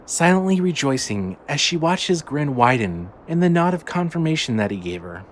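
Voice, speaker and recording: A man's voice: a little high, big pitch swings, normal speed, slight background noise. A man reading a scripted audio drama as its narrator. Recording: music under the voice. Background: clearly audible music.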